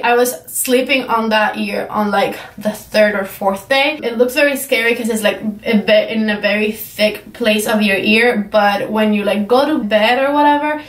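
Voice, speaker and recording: Cuban-accented woman chatting in an echoey room. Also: on the high side. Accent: Cuban Spanish. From Cuba. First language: Spanish. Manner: chatty, rambling, many fillers like "um" and "like". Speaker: beauty vlogger. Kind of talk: casual chat to camera. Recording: echoey room, mic at a distance.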